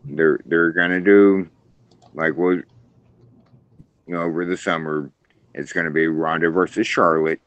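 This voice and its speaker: A man's voice: sits low, fairly even intonation, unhurried, pauses often, fairly bright tone, highs cut off. A man co-hosting a casual wrestling podcast who is losing his voice and talks with many hesitant fillers. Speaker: podcast co-host.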